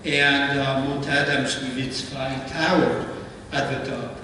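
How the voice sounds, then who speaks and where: A man's voice: mid-range voice, fairly even intonation, bright, forceful tone, a little hiss or hum. Older French-accented man in echoey hall. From France. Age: 70s. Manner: plain, halting, with frequent "uh". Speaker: guest presenter. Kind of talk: club slideshow talk. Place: meeting room. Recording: echoey hall, distant mic.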